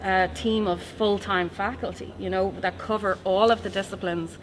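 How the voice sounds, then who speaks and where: Woman, Irish accent, thirties, professor, warm chatty interview talk, faint background noise. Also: medium pitch, steady tone, moderate pace, poor audio. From Ireland. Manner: warm, chatty, laughs often. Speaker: forensic science professor, guest. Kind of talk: casual interview chat.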